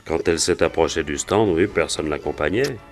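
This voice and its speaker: An actor playing an anxious, plain-spoken working man who is a witness in a French radio crime drama, talking in a halting, colloquial way. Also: rumbling low, soft background music. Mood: explanatory.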